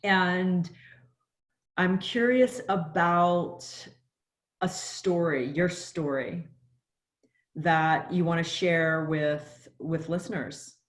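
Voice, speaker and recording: A woman's voice: fairly low pitch, very slow, echoey room. A woman hosting an interview, welcoming and introducing her guest. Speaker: host.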